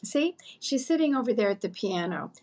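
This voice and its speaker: A woman playing a plain-spoken friend out shopping, quick to argue, in an old-time radio comedy. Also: on the high side, animated, darkish tone. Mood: explaining.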